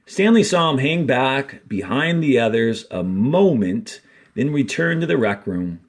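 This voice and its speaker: A man reading a story aloud as a teacher, deliberately, with instructional asides to those following along. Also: medium pitch, animated. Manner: deliberate, explains punctuation and page cues as he reads. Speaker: fourth grade teacher reading aloud to a class.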